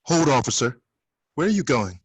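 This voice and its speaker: A man playing a gruff, middle-aged government soldier in a screenplay table read, curt and blunt with a husky voice. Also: medium pitch, very animated, normal speed, no room sound. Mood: stern.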